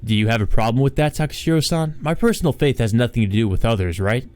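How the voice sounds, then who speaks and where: A man's voice: mid-range voice, quick pace, a little mellow, natural voice. A man voicing an anxious, guarded male artist who is hesitant, evasive and formally polite, in a visual novel.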